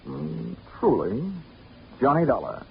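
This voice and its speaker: A man's voice: medium pitch, wildly swooping pitch, takes it slowly, gravelly, slight background noise, heavily acted. An actor playing a wry, hard-boiled insurance investigator, narrating his case with dry wisecracks in 1940s radio style. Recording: dull 1940s radio recording, loud music.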